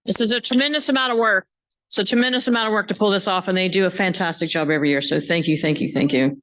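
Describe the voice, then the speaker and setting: A woman's voice: medium pitch, fast talker, fairly bright tone. Chatty woman making event announcements. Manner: loose, chatty, self-correcting. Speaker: symposium organizer. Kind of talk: casual announcements to an audience. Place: conference hall.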